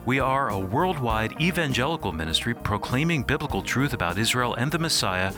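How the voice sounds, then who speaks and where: A man announcing on a radio program in a polished, clearly enunciated, scripted style. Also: medium pitch, very animated, brisk. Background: music.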